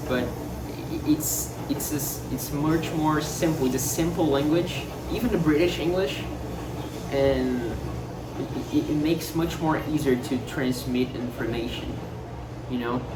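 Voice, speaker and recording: A young man with a Brazilian Portuguese accent muses in a casual monologue, his speech full of fillers, restarts and searching for words. The sound is roomy and echoey, picked up from some distance. A clear layer of background noise sits under his voice. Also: fairly high pitch, measured pace. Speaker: vlogger. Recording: roomy echo, distant mic, noticeable noise.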